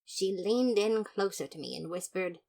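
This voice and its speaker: A woman with a medium-pitched voice narrates an audio story. She delivers first-person detective narration in a dramatic, mock-serious way, playing up the comic noir style. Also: animated, normal speed, vocal fry, a little mellow. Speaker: fan fiction narrator.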